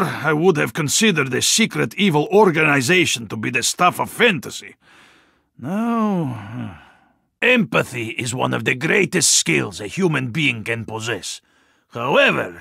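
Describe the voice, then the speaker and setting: A stern, muscular Russian soldier from a video game, with a gravelly and forceful voice. He speaks formally in a Russian accent, and his pitch swings widely as he makes earnest, deliberate pronouncements. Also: no echo, clean studio sound, exaggerated voice. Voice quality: gravelly, husky. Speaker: video game character voice actor.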